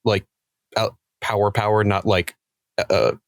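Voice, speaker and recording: A man talking casually as a podcast guest, thinking aloud in a halting, reflective way. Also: fairly low pitch, little pitch movement, no echo, studio quality. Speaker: podcast guest, cast member of a role-playing show.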